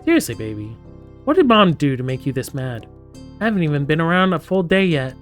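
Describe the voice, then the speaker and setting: A man's voice: on the high side, big pitch swings, brisk, soft background music. A man voicing a teasing, doting mother of a teenager in a casual manner. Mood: teasing, exasperated.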